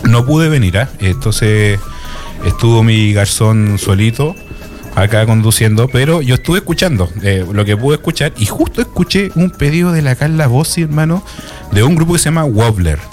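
A man's voice: mid-range voice, lively intonation, normal speed, darkish tone, faint music behind. A man in his forties with a Chilean accent, hosting a casual radio show and chatting between listener messages. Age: 40s. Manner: chatty, reads listener messages aloud with friendly asides. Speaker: radio host.

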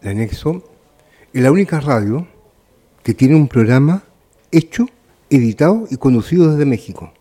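A man's voice: medium pitch, expressive, normal speed, long pauses, warm tone. A man with a Chilean accent hosting a live local radio interview in a courteous, formal way. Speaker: radio host.

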